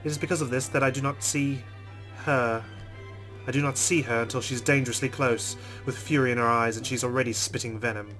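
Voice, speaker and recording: A man's voice: medium pitch, fairly quick, faint music behind. Man reading game narration dramatically. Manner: expressive reading with reactions. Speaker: let's player reading a visual novel. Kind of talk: dramatic first-person narration.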